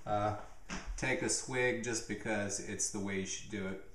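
A man's voice: on the low side, a little slow, very noisy. Laid-back man joking in a roomy kitchen. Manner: laid-back, rambling, deadpan asides. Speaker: comedian hosting a home cooking video. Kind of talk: jokey mock cooking show. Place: home kitchen, indoors. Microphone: camera mic, handheld or nearby.

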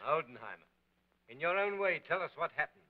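A man's voice: fairly high pitch, expressive, brisk, lightly acted. A man playing a stern, impatient middle-aged police prefect in a 1930s film, questioning people curtly. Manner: curt, questioning. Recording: muffled old 1930s film soundtrack.

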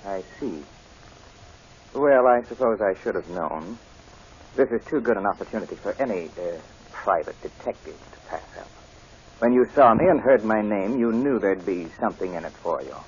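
A man's voice: fairly low pitch, expressive, normal speed. A man playing a condescending, insistent army major and fiancé in old-time radio drama. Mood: indignant. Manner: patronizing, insistent. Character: smooth, condescending military fiancé, insistent and suspicious. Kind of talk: 1940s radio acting. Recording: muffled, noisy old radio broadcast.

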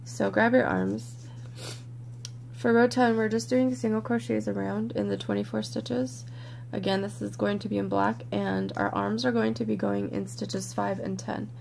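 A woman with a croaky, congested voice leads a step-by-step craft tutorial in a relaxed, chatty way, sometimes dropping to a near-whisper as she counts stitches. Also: mid-range voice, a little hiss or hum. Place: indoors at a worktable, overhead camera on hands.

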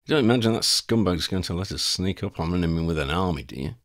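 A man's voice: deep voice, expressive, fast talker, fairly bright tone, dry recording, studio quality. A man voicing a blunt, loyal young male wizard in an audiobook reading, scornful of the enemy.

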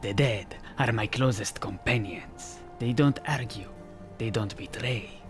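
A man voicing a slow-speaking somber cat-folk necromancer. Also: on the low side, faint music behind, lightly acted. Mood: brooding, calm. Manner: slow, deliberate, theatrical. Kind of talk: fantasy video game companion voice acting.

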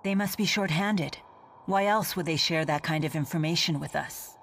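A woman's voice: sits low, normal speed, slight background noise. An actress playing a composed, resolute young woman in a video game, blunt, formal and matter-of-fact. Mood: blunt, calm. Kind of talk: English game voice acting.

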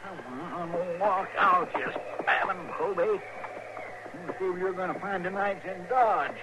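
A loyal, folksy sidekick, grumbles away in a high rural American voice. He is talkative and a little querulous. The 1950s radio western recording is clearly noisy. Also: steady tone, a little slow. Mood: grumbling. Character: folksy, grumbling, loyal deputy in the Old West.

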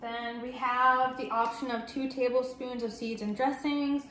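Woman, 30s, chatty home-cooking vlogger, slightly high voice, roomy kitchen sound. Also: level tone, unhurried. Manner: chatty and upbeat, explaining as she works. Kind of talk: casual step-by-step cooking demo. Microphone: fixed camera at table distance. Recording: echoey kitchen with faint noise.

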